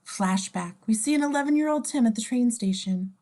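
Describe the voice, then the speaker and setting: Brief: female narrator for a casual script reading, higher voice, informal delivery with wry streak. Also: expressive, a little fast, dark tone. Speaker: scene description reader.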